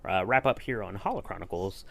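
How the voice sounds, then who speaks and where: A man's voice: deep voice, fairly quick, gravelly, fairly bright tone. A man hosting a podcast in casual chat, asking questions in a rambling, hesitant way. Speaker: podcast host.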